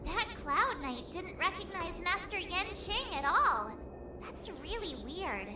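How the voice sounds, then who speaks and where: A woman's voice: very high voice, sing-song, slow, background noise, exaggerated voice. A woman voicing a playful, cheeky little girl with a teasing manner in a video game. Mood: curious. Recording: roomy echo with music behind.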